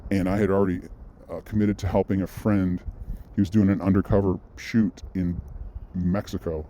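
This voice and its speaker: A man's voice: sits low, steady tone, moderate pace, warm tone, muffled recording, a little hiss or hum. A man vlogging, telling a personal story in a loose, rambling way, full of restarts and side notes. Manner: rambling, with frequent restarts and asides. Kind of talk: casual storytelling monologue.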